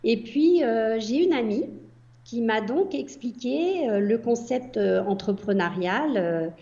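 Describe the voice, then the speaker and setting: An older French woman from the Paris region answers questions calmly, pausing often with filler sounds. Her voice is mellow and rather high for a woman. The recording sounds dull and lo-fi. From Paris region, France. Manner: hesitant, many fillers. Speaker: guest, entrepreneur. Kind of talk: casual interview answers.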